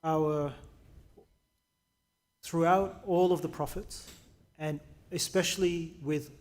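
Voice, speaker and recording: Male moderator, slightly higher voice, easygoing and polite, lots of ums. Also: steady tone, takes it slowly. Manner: polite, easygoing, filler-heavy. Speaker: host, moderator. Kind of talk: live panel discussion.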